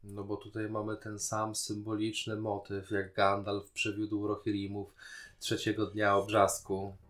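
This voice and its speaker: A man's voice: fairly low pitch, monotone, a little slow, fairly bright tone. A man co-hosting a podcast, chatting casually and playfully about a series. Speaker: podcast co-host. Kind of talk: casual chat reviewing a TV series.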